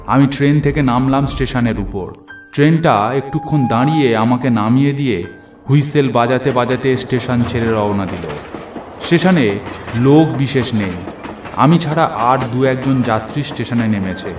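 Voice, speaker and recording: A man's voice: mid-range voice, normal speed, roomy sound, music in the background. A man narrating a horror story in the first person, in a literary, suspenseful storytelling manner. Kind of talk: dramatic scripted horror narration.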